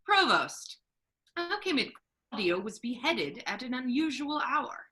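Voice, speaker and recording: A woman playing a stern, authoritative male duke in a measured, formal Shakespearean stage reading. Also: high-pitched, sing-song, bright, forceful tone, heavily acted. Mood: cool, authoritative.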